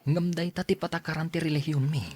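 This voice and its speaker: A man acting a devoted young boyfriend who obeys his mother, pleading softly in an Ilocano radio drama. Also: a little high, fairly even intonation, fast, breathy edge, no echo.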